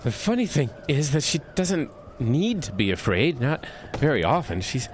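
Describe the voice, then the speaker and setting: An actor playing an earnest, freezing, fond but exasperated man who speaks haltingly with small stammers in an audio drama. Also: medium pitch, big pitch swings, a little hiss or hum, natural voice. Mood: earnest, reflective.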